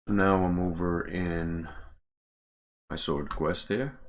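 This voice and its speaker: A man's voice: low voice, steady tone, slow pace. A man giving casual livestream commentary, muttering to himself as he works on game mods. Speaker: streamer, game mod maker. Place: indoors at a computer.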